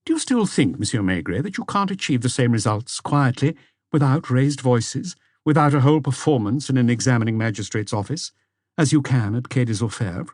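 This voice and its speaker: A man's voice: medium pitch, lively intonation, a little fast, darkish tone, no room sound, studio quality, close to an everyday voice. A man voicing a formal, probing examining magistrate in an audiobook, insistent in his questions. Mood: needling, reasoning.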